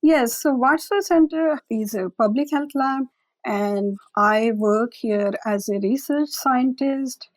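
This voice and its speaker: A woman, a lab director and guest on an interview podcast, explaining her scientific work in a measured, conversational way. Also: fairly high pitch, darkish tone, dry recording, low-quality recording. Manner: measured, explanatory, occasional fillers.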